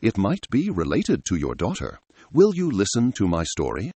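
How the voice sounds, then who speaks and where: A man's voice: on the low side, wildly swooping pitch, moderate pace, warm tone, breathy edge, no echo, exaggerated voice. A man voicing a devoted, determined woman doctor in an audio story, earnest and dramatic.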